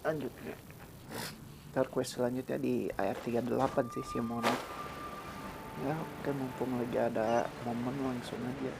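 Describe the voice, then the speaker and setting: A man's voice: mid-range voice, fairly even intonation, moderate pace, gravelly, heavy background noise. A man giving casual gameplay commentary as a gaming streamer. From Indonesia. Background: game music.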